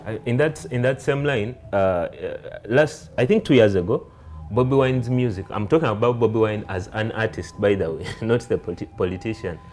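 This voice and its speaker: Male interviewer, lowish voice, conversational and halting as he builds his questions. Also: a little mellow, faint music behind. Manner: halting, repeats words as he forms points.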